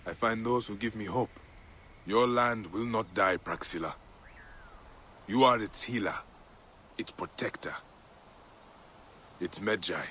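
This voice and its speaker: An actor playing a steadfast, compassionate protector from ancient times, in a dramatic video game performance. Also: male voice, fairly low pitch, pushed and bright, slight background noise.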